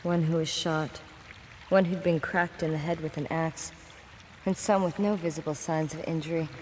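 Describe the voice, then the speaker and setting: An actress playing a calm, matter-of-fact heroine in a game cutscene. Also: female voice, low voice, normal speed, in a large hall, a little hiss or hum, close to an everyday voice. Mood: cool. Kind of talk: video game cutscene acting.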